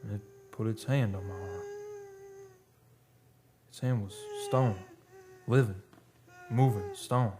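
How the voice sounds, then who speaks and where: An actor playing a haunted, obsessed oil driller confessing a horror in a halting, brooding radio monologue. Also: male voice, on the low side, background noise. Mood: anguished, horrified. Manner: halting, brooding, dramatic storytelling.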